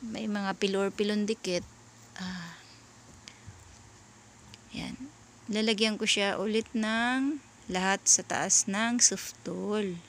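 A Filipino woman talks viewers through sewing steps as a dressmaker. Her delivery is slow and halting, casual, with searches for the right word. Her voice sits at a medium pitch, over faint background noise in a homemade recording. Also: a bit bright. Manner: halting, searching for words, often addressing viewers. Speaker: dressmaker giving a sewing tutorial. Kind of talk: casual step-by-step voice-over tutorial.

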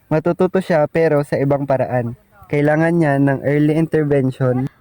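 A man playing a calm, reassuring doctor in an amateur radio drama, explaining things in a measured way. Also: on the high side, no echo, lightly acted.

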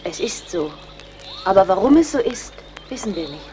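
A woman's voice: medium pitch, big pitch swings, normal speed, dark tone, soft background music, lightly acted. An actress playing a brave young captive woman in a German radio play, speaking in an earnest, formal, imploring manner. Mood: pleading. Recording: faint background noise.

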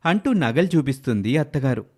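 A man's voice: medium pitch, very animated, a little fast, a little mellow, husky. A man narrating a scripted moral tale as a storyteller.

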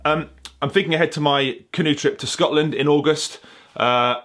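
A man's voice: fairly high pitch, normal speed. A man in his forties, talking casually to the camera in his home workshop, explaining his plans step by step with plenty of ums. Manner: chatty, relaxed, explaining step by step with many ums. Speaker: bushcraft and canoe maker vlogger. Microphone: camera close to face.

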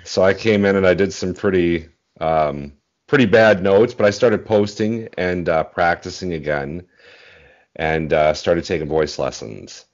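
A man in his forties with a very deep bass voice, speaking modestly and a little haltingly in a casual interview over a video call. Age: 40s. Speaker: interview guest, bass singer. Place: small indoor room at home. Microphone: earbud headset on video call. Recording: dull, muffled video-call audio.

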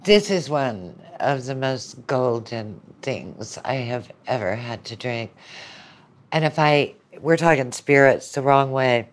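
A woman's voice: a bass voice, animated, slow. An older woman, a lecturer appearing as a podcast guest, speaking in casual conversation.

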